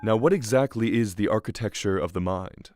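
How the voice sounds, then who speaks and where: A man playing a measured, philosophical narrator with a deliberate, rhetorical delivery in a radio play. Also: lowish voice, over music, natural voice. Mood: measured, intriguing. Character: measured philosophical narrator guiding down through a mind. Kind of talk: scripted radio drama narration.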